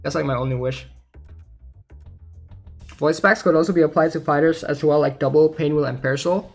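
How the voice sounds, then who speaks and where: A gaming content creator talks in a casual, mid-pitched voice with music behind. The delivery is conversational commentary on game news, switching now and then into reading aloud. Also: steady tone, a little fast.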